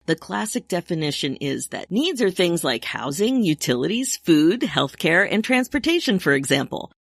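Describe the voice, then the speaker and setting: Upbeat woman in her sixties, low voice. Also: sing-song, moderate pace, creaky, dry recording, clean studio sound. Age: 60s. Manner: upbeat, chatty, laughs often. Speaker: podcast host and midlife coach. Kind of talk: solo podcast monologue.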